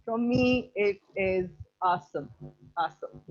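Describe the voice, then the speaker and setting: A woman's voice: medium pitch, measured pace. A woman teacher with an Indian accent giving effusive feedback in an online class, repeating phrases for emphasis. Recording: slightly dull online call audio.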